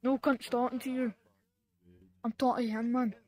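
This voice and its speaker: A man's voice: extremely high pitch, little pitch movement, normal speed, a little mellow. A man with a thick Glasgow Scottish accent, trolling in an online voice chat with crude slang that is hard to follow. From Scotland. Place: online voice chat in a social VR game.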